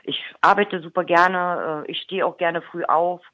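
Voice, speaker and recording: A woman's voice: on the low side, fairly even intonation, no room sound. A woman with a Berlin accent, an actress being interviewed on the radio, talking openly and chattily. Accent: Berlin German. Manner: chatty, open, quick to laugh. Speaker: actress guest. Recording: dull lo-fi radio recording.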